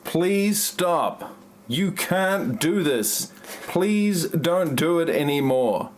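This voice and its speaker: An amateur actor, a man playing an awkward, melodramatic character with stilted, halting delivery in a parody audio play. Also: fairly high pitch, animated, slight background noise. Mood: pleading.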